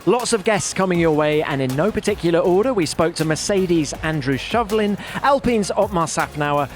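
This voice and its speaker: A man's voice: high voice, animated, moderate pace, faint music behind. A man presenting a motorsport podcast as its host. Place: outdoors at race paddock.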